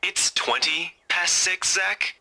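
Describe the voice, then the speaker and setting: A man's voice: high voice, slow, sharp tone, heavily acted. An actor playing a young man in a visual novel, a teasing and persistent friend.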